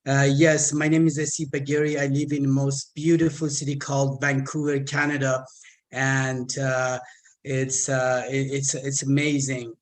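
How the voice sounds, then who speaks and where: A man in his fifties from Iran, speaking with an Iranian accent and halting, repeated words as he gives a personal talk as a guest speaker. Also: mid-range voice, level tone. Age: 50s. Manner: halting, stammered repetitions.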